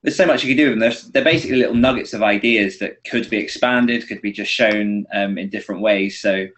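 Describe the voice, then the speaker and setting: A man's voice: lowish voice, quick pace, a bit bright. A British man chatting casually as a guest author on a podcast. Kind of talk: casual chat.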